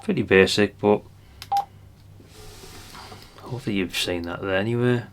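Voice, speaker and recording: A man's voice: fairly low pitch, normal speed, noisy background. An older man giving a casual hands-on product demonstration, chatty and unscripted, thinking aloud as he goes. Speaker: presenter of a radio gadget review channel.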